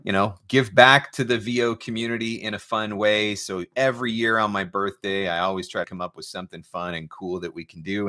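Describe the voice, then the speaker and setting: Male livestream host. Crisp, bright, forceful delivery in a medium register, loose conversational banter. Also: medium pitch. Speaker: livestream host and voiceover marketing coach. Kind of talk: casual live chat, off the cuff.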